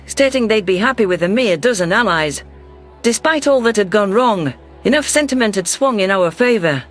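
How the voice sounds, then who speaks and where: A man's voice: sits high, a bit bright. A man narrating a science fiction story in the first person, reading a scripted text.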